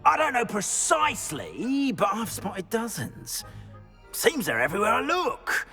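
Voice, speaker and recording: A man's voice: high voice, wildly swooping pitch, unhurried, pushed and bright, in a large hall, soft background music, exaggerated voice. A man playing an anxious, fussy wizard school caretaker in a video game, speaking in a dramatic, hesitant, florid way. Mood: worried, earnest. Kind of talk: video game character acting.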